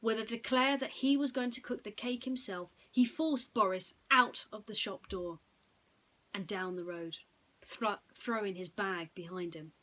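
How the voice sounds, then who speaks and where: A woman's voice: mid-range voice, unhurried, fairly bright tone, low-quality recording. A woman storyteller narrating a bedtime story in a dramatic, suspenseful way.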